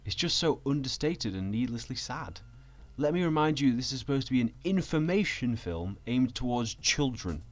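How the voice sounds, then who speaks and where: A man giving sardonic, scripted video commentary in a deadpan, sweary manner. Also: mid-range voice, normal speed. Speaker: video essayist. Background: background music.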